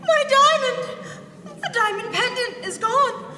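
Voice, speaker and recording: A hysterical society lady in a vintage-style radio melodrama. Her voice is extremely high, piercing and frantic. It rings out in a very echoey hall. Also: expressive, takes it slowly, pushed and bright, heavily acted. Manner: melodramatic, shrieking. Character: hysterical wealthy society woman, robbed and terrified. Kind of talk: 1940s radio drama acting. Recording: live in echoey hall, distant.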